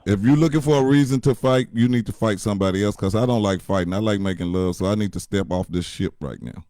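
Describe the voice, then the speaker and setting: Blunt deep-voiced man, profane talk. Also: fast, warm tone, dry recording. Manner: blunt, profane, preachy advice. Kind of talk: casual chat.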